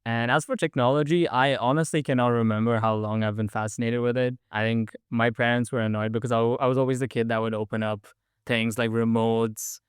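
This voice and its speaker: A man's voice: mid-range voice, steady tone, brisk, smooth, no echo, clean studio sound. A young man from India, chatting eagerly as a podcast guest and using lots of "like" and "um". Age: young adult. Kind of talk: casual interview storytelling.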